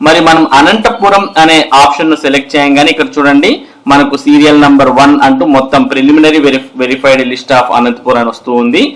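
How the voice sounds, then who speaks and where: Higher-pitched man giving an explanatory news update. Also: fairly even intonation, a little fast, fairly bright tone, low-quality recording. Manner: instructional, addresses viewers directly. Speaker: host of an education and job news channel.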